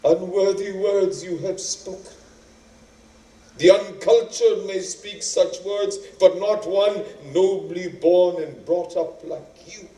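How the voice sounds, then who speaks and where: A man's voice: high-pitched, slow pace, warm tone, echoey room, far from the mic, pitched above the performer's own voice, rough recording. A man playing a proud, wounded noble wife from an epic, speaking formally with indignant, pleading deliberation. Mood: hurt, indignant.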